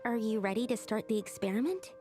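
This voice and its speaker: A woman's voice: a little high, animated, fast talker, a little mellow, lightly acted. A woman voicing a studious, earnest young female alchemist in a video game, explaining things precisely and methodically. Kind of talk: scripted video game voice acting. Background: game music.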